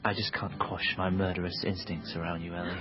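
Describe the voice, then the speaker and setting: An actor playing a sardonic but bashful young slacker friend in a naturalistic radio drama, dry and teasing. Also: male voice, sits low, little pitch movement, fairly quick, muffled recording, music in the background, natural voice. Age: young adult.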